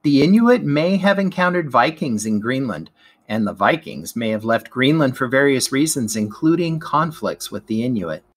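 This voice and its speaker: A man's voice: mid-range voice, animated, moderate pace, breathy edge. Man reading an educational text aloud. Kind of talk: scripted educational read-aloud narration.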